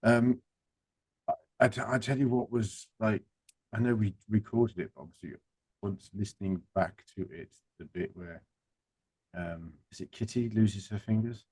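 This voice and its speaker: A man's voice: on the low side, normal speed, a little mellow, slightly rough, no echo, poor audio. A man in casual group chat, talking in a hesitant, understated way.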